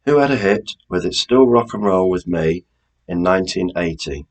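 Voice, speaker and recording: Man, quiz host, low-pitched, rough husky tone, measured question reading, informal. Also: expressive, darkish tone. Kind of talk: quiz reading, questions and multiple-choice answers.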